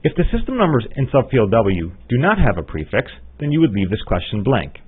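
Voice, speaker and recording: A man giving methodical, instructional narration for a scripted step-by-step software tutorial. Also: medium pitch, very animated, brisk, breathy edge. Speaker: software trainer. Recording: muffled, phone-like narrow-band audio.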